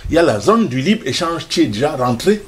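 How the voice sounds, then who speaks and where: A man's voice: fairly high pitch, very animated, fairly quick, fairly bright tone, slight background noise. An older man speaking as a studio guest in a passionate debate, emphatic and stammering on repeated words.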